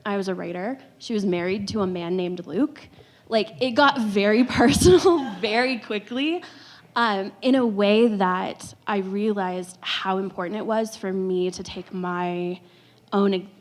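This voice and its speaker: A woman's voice: mid-range voice, unhurried. A woman writer speaking on a live panel, reflective and personal, with some fillers. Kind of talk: panel discussion.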